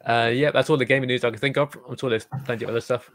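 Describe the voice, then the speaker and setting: A man's voice: medium pitch, fairly even intonation, rapid-fire, fairly bright tone, no room sound, studio quality. A young man running through gaming news on a podcast, upbeat and often laughing. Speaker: podcast co-host, news reader. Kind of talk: casual chat.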